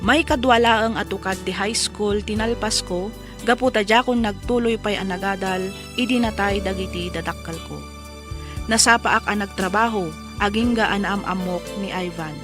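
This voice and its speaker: An actress playing a young wife who narrates her own life story in a radio drama, measured and earnest. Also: mid-range voice, close to an everyday voice. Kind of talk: scripted first-person radio drama narration. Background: music under the voice.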